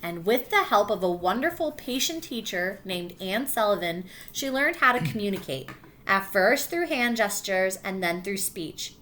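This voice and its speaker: Bright-voiced woman teacher reading aloud. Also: medium pitch, lively intonation, a little hiss or hum. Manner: warm encouraging teacher voice, pausing to explain. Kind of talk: classroom read-aloud.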